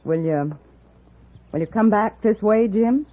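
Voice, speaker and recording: An actress playing an anxious young sweetheart in a radio western, earnest and faltering. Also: female voice, medium pitch, a little slow, a little hiss or hum, natural voice. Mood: anxious, pleading. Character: anxious, hesitant young sweetheart of an outlaw soldier. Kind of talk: 1950s radio western acting. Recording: tinny old radio recording.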